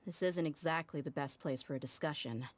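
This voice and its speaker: An actress playing a tough, blunt mercenary leader in a game cutscene, frank and guarded with casual slang. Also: female voice, deep voice, fairly quick, slight background noise, lightly acted.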